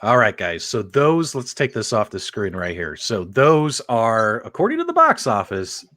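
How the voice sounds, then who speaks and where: A man hosting a casual panel show, excitable and chatty as he addresses his co-hosts and audience. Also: fairly low pitch, normal speed, no echo. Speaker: host of a video game podcast.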